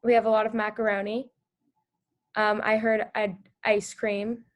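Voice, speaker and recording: A woman hosting a read-aloud for children over a video call, reading the story and asking them gentle questions. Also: mid-range voice, flat delivery, a little slow. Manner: gentle, encouraging, with small restarts.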